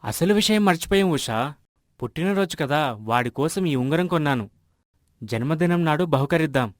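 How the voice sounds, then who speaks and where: A man voicing an earnest, generous village husband in an animated moral story, speaking in an explanatory way. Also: fairly high pitch, normal speed, no echo, clean studio sound, natural voice. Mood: friendly.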